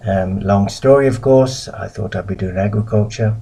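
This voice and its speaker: A middle-aged man from the UK giving a recorded lecture as a missionary, measured and pausing often. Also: fairly low pitch, gravelly, warm tone, slightly rough. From United Kingdom.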